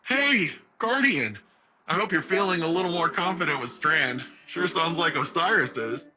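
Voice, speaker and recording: A man's voice: a little high, lively intonation, moderate pace, music in the background, lightly acted. A man playing a youthful, earnest cyber-enhanced hero in a video game, chatty and informal. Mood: breezy, playful. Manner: informal, breezy. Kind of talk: conversational video game acting.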